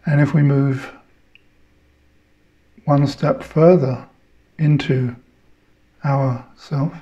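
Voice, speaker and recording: Slow, mellow male meditation guide. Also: medium pitch, steady tone, highs cut off. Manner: measured short phrases with long pauses.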